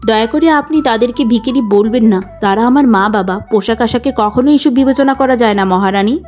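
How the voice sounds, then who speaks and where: A woman voicing a gentle, forgiving young princess in a cartoon fairy tale. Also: fairly high pitch, a little fast, soft background music, close to an everyday voice. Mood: earnest, firm.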